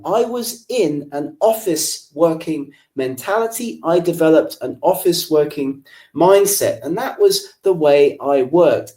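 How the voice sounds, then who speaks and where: A man from England whose English accent carries a faint Irish lilt, talking through a webinar from his home office. His voice is mellow and rather dark, and he addresses listeners directly in a friendly, explanatory manner. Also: a little high. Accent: English with a slight Irish lilt. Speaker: webinar presenter. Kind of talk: live webinar presentation.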